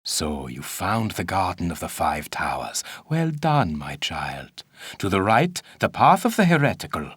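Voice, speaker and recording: An older, stern archaeologist guides a student in a video game. His voice is low and rolls widely in pitch. He is formal, pompous and condescending, echoing in a long cavernous reverb. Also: huge pitch swings, a little slow, a bit bright, full character voice. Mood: grave, imposing. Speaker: mentor and guide. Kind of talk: video game dialogue and tutorial instruction.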